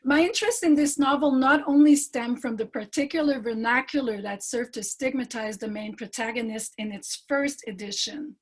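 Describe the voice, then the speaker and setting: Husky woman from montreal, giving an academic talk, lots of ums and restarts, on a video call. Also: a little high, low-quality recording. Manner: measured, with frequent fillers and restarts. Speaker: doctoral fellow presenting research.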